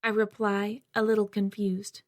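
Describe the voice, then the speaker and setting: A woman's voice: medium pitch, flat delivery, a little slow, dry recording. Woman narrating in a dry, close read. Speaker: audiobook narrator. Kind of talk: first-person audiobook narration.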